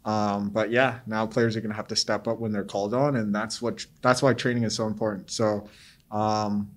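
A young man, a pro soccer player, answering post-game press questions in a measured, steady way with frequent fillers. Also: on the low side. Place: press room before a sponsor backdrop.

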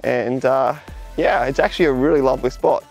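A young Australian man vlogging casually outdoors at the seaside, chatty and relaxed, filmed by a camera a few metres off. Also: mid-range voice, fairly quick, faint music behind, rough recording. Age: young adult. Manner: chatty, relaxed, filler-heavy, gestures while walking. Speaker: travel vlogger. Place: outdoors at a sunny seaside lookout, jetty and beach. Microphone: camera on a tripod a few metres away.

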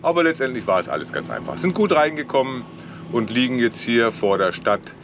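A man's voice: medium pitch, very animated, brisk, fairly bright tone. A middle-aged man casually narrating a travel vlog into a handheld camera outdoors. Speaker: sailing vlogger. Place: outdoors, boat deck and shore. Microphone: handheld camera at arm's length. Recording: noisy outdoor camera audio.

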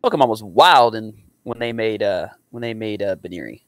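A man's voice: fairly low pitch, expressive, normal speed, no room sound. A young adult man giving casual reaction commentary as a video host in a room at home.

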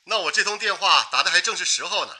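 Breezy wartime radio man on a call, very sharp high voice. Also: full character voice. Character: plucky wartime radio man calling by telephone. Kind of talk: radio drama acting.

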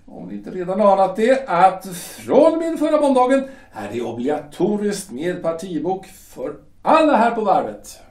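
A man's voice: high voice, big pitch swings, hardly any pauses, darkish tone, roomy sound, distant mic. An actor playing a pompous male shipyard director who speaks in hesitant, insinuating phrases. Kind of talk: audio drama acting.

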